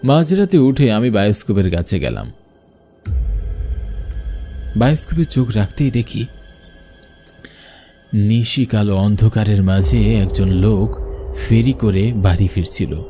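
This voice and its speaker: Man acting a worried, mellow-voiced village showman. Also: on the low side, expressive, a little slow, long pauses, soft background music. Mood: haunted, tense. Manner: dramatic storytelling. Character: worried, frightened village bioscope showman telling his story. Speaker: narrator and main character. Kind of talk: scripted first-person horror cartoon narration.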